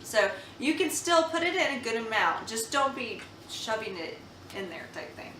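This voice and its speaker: Brief: young mom vlogger, bright, chatty, earnest, recorded a bit off-mic in an echoey room. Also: female voice, a little high, sing-song, moderate pace, far from the mic, a little hiss or hum. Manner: chatty, earnest, with many ums and restarts. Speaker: mom vlogger reviewing baby products. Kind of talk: casual product review chat. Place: home room.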